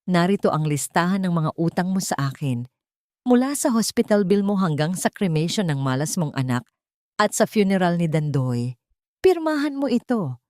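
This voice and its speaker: A woman's voice: on the low side, big pitch swings, normal speed, a little mellow, no room sound, studio quality. A woman voicing a cruel, money-minded older mother-in-law in a story, scornful and commanding. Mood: contemptuous, cold. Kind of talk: dramatic audio storytelling.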